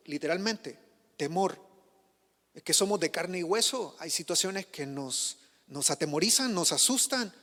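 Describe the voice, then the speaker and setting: Pastor guy preaching, high voice, swings loud then drops real low, churchy echo. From Chile. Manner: passionate preaching, rising on questions and dropping low for emphasis. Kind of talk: sermon.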